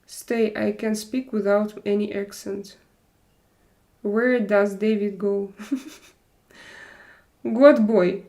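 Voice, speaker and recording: A woman from Russia, hosting a casual live stream chat and searching for her words in halting English. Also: mid-range voice, steady tone, warm tone.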